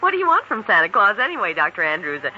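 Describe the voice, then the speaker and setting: A woman's voice: medium pitch, big pitch swings, very fast, pushed and bright, muffled recording. A woman playing a friendly young hospital receptionist, chatty, teasing and curious, in 1940s radio drama style. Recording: old radio recording, faint noise.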